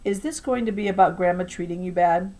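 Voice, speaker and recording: A woman's voice: lowish voice, fairly quick, dark tone, natural voice. A woman voicing a weary, firm young mother in a children's novel, guarded and mildly ordering as she talks to her boy.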